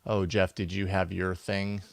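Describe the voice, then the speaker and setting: A man's voice: low-pitched, little pitch movement, measured pace, vocal fry, dry recording. A man hosting a live show in a casual, informal, conversational way. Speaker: podcast host.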